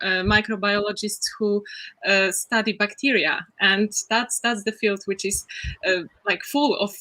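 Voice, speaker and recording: Young woman, interview guest on a video call, bright tone, explanatory with many "uh"s. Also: fairly high pitch, rough recording. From Poland. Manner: explanatory, with frequent "uh" fillers. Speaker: guest researcher. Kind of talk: casual interview talk.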